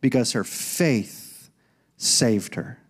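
A man in his forties preaching a sermon on a church stage into a handheld microphone, swinging between loud emphatic lines and quiet conversational asides. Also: medium pitch, very slow, slightly rough. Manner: preaching with rising and falling intensity, conversational asides. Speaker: pastor.

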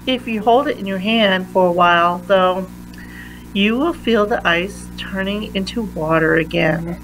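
A woman reading a children's picture book aloud in a deliberate manner, pausing between phrases. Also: medium pitch, fairly bright tone, a little hiss or hum, poor audio. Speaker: read-aloud reader.

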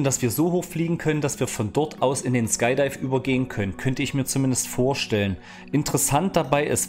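A man's voice: mid-range voice, a little mellow. A man gives casual gaming news in a chatty, colloquial way, close on a studio microphone. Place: small home streaming room. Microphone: close studio microphone on arm. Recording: music under the voice. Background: background music.

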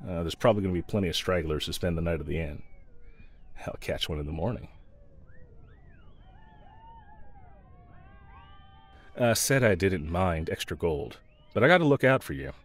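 A man's voice: low voice, expressive, fast talker. A man playing a snarky, pragmatic yet protective mercenary escort in a fantasy audio roleplay, talking to the listener in a wry, teasing, laid-back way. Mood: grumbling, caring.

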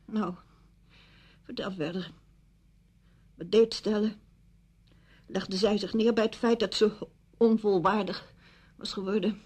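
A grieving middle-aged widow in a radio play. Her voice is husky, and she speaks with weary, bitter sarcasm. She sounds proud and defensive. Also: medium pitch, fairly even intonation, slow, pauses often, darkish tone. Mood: sardonic. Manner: sardonic, weary. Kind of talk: Dutch radio play acting.

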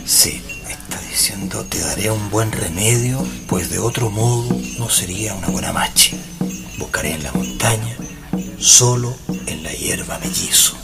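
An actor playing an earnest, grave male parapsychologist in a radio drama, speaking dramatically and deliberately with a Chilean accent. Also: on the low side, vocal fry, over loud music. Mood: explaining.

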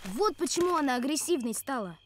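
High-voiced earnest cartoon boy. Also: animated, fairly quick, heavy background noise. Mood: earnest, planning. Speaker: voice actor. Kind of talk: animated cartoon acting.

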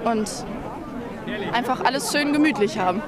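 A woman's voice: fairly high pitch, expressive, moderate pace. A young woman answering street interview questions cheerfully and thoughtfully at a night-time Christmas market. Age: young adult. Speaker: market visitor. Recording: loud crowd behind.

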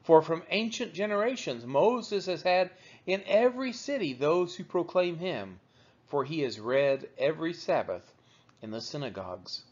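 A man reading the Bible aloud as measured narration, with deliberate, emphatic phrasing. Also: a little high, expressive. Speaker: Bible reader. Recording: dull, lo-fi recording.

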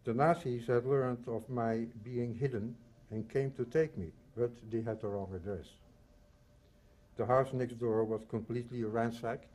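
A man in his eighties from the Netherlands and Belgium, reading a prepared personal testimony in measured, solemn short phrases. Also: medium pitch, darkish tone. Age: 80s. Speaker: Holocaust survivor giving testimony.

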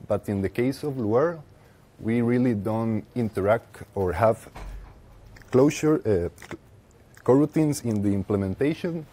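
A young man with a Costa Rican Spanish accent giving a technical presentation as a conference speaker, haltingly, with pauses mid-phrase. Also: mid-range voice, warm tone. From Costa Rica. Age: young adult. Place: lecture room with seated audience, indoors.

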